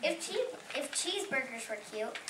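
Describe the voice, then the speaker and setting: A woman's voice: sits high, very animated, moderate pace. A woman playing an aggrieved, cheeky storybook wolf who tells the tale defensively in a readers' theater reading. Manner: defensive, self-justifying storytelling. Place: library room, distant microphone. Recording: echoey, noisy room from far off.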